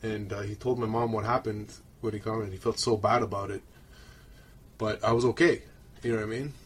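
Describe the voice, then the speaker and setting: A man's voice: on the low side, fairly even intonation, brisk, a little hiss or hum. A man from Romania who moved to Canada as a child, co-hosting a podcast with rambling, colloquial personal stories. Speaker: podcast host. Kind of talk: casual chat, personal storytelling.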